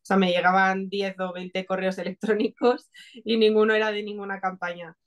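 A woman's voice: mid-range voice, level tone, moderate pace. A woman in her twenties with a Spain Spanish accent, chatting informally as an interview guest on a video call. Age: 20s. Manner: informal, conversational. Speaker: interview guest, marketing specialist. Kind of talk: casual chat. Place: small home room, indoors.

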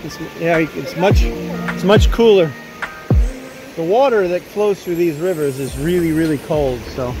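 Middle-aged man, casual travel vlogger filming on a handheld gimbal outdoors; relaxed, rambling delivery with music beneath. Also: a little high, a little slow, rough recording. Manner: easygoing, explains with fillers and restarts. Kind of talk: casual travel vlog narration. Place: outdoors by a forest trail and parking lot. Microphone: camera on a handheld gimbal.

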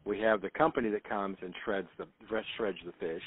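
Guy working the phones, low voice, patient n matter of fact, tinny line. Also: steady tone, fairly quick, no room sound. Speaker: shredding business clerk on phone. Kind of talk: customer service phone call.

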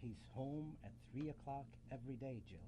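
A man acting a grumpy, defensive broken toy, gruff and dismissive, in a student radio play. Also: medium pitch, normal speed, warm tone, lightly acted, poor audio. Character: grumpy, defensive broken male toy, a loner hiding away. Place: college radio studio, live broadcast. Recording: clear background noise in radio studio.

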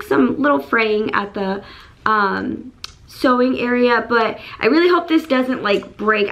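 A woman in her thirties with a hoarse voice, chatting casually to camera in her bedroom as she unboxes and shows off dresses, gushing and full of fillers. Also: on the high side, a bit bright. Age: 30s. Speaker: beauty and fashion YouTuber. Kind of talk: casual try-on haul chat. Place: bedroom indoors.

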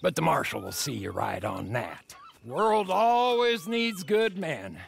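An actor playing a rambling, philosophical old trapper with a gravelly, folksy drawl. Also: male voice, a little high, big pitch swings, takes it slowly, slight background noise, heavily acted. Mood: musing. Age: older.

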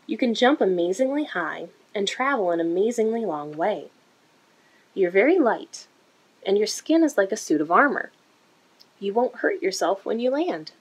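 A woman reads a picture book aloud to young children. Her mid-pitched voice has a husky, slightly breathy edge. She phrases things deliberately and expressively, as in a story time. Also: poor audio. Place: indoor room.

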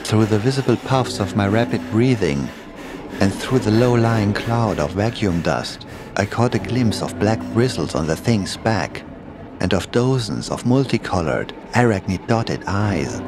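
A man with a fairly low voice narrates a scripted horror story in the first person. He reads as the storyteller, and music plays clearly underneath his voice. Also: animated, a little slow. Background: music behind the voice.

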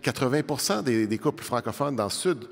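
A middle-aged man speaking as a panelist in a televised debate, animated and conversational, throwing in quick asides at a desk microphone. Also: mid-range voice, gravelly. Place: large studio hall with audience. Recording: long reverb of a large hall.

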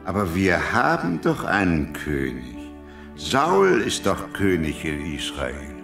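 An actor playing a humble, middle-aged farmer and worried father in an earnest, expressive Bible audio drama. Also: sits low, slow pace, creaky, music in the background, full character voice. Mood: humble, astonished.

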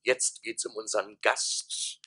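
An actor playing an officious mayor of a town of fools in a comic radio play, declamatory and commanding. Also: male voice, on the low side, level tone, slow, pushed and bright, slightly rough, full character voice.

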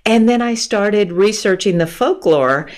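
Woman in her 50s talking slow on a call, earbud mic, patient explainer. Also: mid-range voice, expressive. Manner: thoughtful, laughs often, explains patiently. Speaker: guest author. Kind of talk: conversational interview. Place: room with bookshelves. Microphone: earphone mic on a video call.

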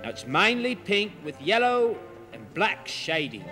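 A man's voice: sits high, sing-song, measured pace, over music. An actor playing a jolly, chatty male zoo host who gushes to the audience and coos at the animals. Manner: chummy, gushing, talks to the audience and animals. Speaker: zoo show host character. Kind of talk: comedy sketch acting.

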